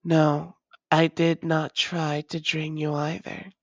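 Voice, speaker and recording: A woman plays a wry female vampire with a low voice, devoted to a long-lost love. She speaks slowly and formally, in an intimate roleplay style. The sound is close, dry and slightly dull. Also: little pitch movement, no room sound. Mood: exasperated. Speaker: audio roleplay voice actor. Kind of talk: intimate audio roleplay acting.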